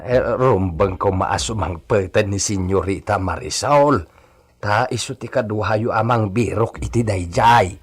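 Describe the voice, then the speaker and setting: A man's voice: on the low side, unhurried, slightly rough. A man playing a humble, eager hacienda servant in a radio drama, speaking deferentially and hesitantly to his master. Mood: curious, respectful.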